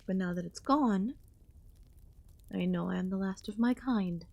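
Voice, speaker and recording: A woman voicing an ancient, possessive alien queen in an ASMR audio roleplay, speaking with soft, teasing menace and drawn-out pauses. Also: medium pitch, gravelly. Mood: solemn, regal. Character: ancient yandere alien queen, last of her kind, condescendingly affectionate.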